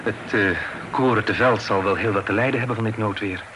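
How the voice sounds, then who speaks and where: An actor playing a polite, flustered young gentleman in a Dutch radio play, speaking haltingly and courteously. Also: lowish voice, lightly acted. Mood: hesitant, polite. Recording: noisy old radio play recording.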